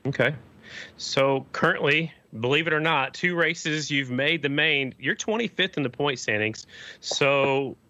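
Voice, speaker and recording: A chatty male podcast host with a bright, forceful voice who asks questions in a friendly way. The sound is dry and a little dull, as if recorded on a modest mic. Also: mid-range voice, no echo. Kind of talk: casual interview.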